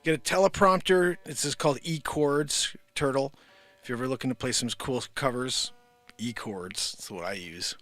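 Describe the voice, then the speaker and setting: A man's voice: medium pitch, moderate pace, fairly bright tone, no room sound. A man casually chatting to a live-stream audience as singer and host, with an informal, breezy, self-deprecating manner. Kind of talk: casual chat to a live audience between songs. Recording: live guitar music in the recording. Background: guitar playing.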